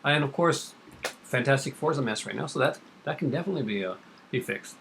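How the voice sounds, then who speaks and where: Laid-back guy rambling about stuff, mid pitch, faint hiss behind. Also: fast, vocal fry. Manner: relaxed, rambling, hesitant with fillers. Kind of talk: casual chat.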